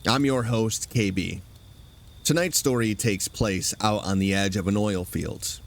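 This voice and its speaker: A man's voice: lowish voice, unhurried, creaky. A man hosting a horror radio show, reading a scripted campfire-style introduction to a story. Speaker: host introducing a radio drama.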